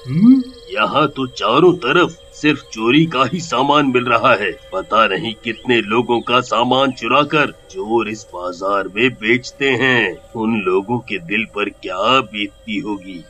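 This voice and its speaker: A man voicing a sly male ghost who wanders a thieves' market, musing slowly and chuckling, then scolding the thieves. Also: mid-range voice, pushed and bright. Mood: disapproving.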